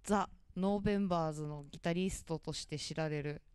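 A woman's voice: sits low, brisk, darkish tone, clean tone. A young woman managing a label, explaining it in casual radio talk with many hesitant fillers into a handheld mic in a dim cafe studio.

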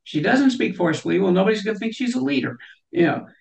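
A woman's voice: mid-range voice, expressive, fast talker, creaky, a little mellow. An older woman, a guest on an interview show, speaks from home over a video call, with many restarts and "you know" fillers. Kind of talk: interview conversation. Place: home room with shelves.

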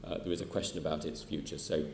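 A British man in his sixties giving formal testimony to a committee as a chief executive, measured and halting with many filler sounds. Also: a bass voice, steady tone, vocal fry, darkish tone, slight background noise. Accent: British English. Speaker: chief executive answering questions. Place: large council chamber. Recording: echoey hall with faint ambience.

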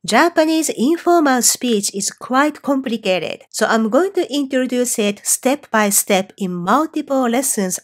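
Woman, Japanese teacher, fairly high pitch, clear, measured, scripted online lesson. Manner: clear, measured explaining, slowing on example phrases.

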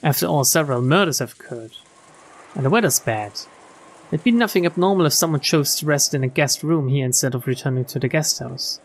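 A woman streamer reading visual novel text aloud in an expressive storytelling style. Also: sits low, a little fast, slightly rough. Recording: game music behind the voice.

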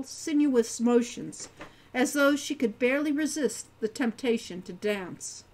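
An older woman, the book's author, narrating her novel in a gentle, expressive storytelling manner at home. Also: fairly high pitch. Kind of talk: audiobook narration.

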